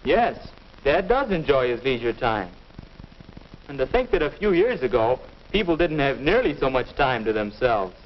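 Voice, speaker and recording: A young actor playing an earnest, questioning teenage son in a 1950 instructional film. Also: male voice, mid-range voice, very animated, moderate pace, natural voice. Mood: doubtful. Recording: muffled, noisy 1950 film soundtrack.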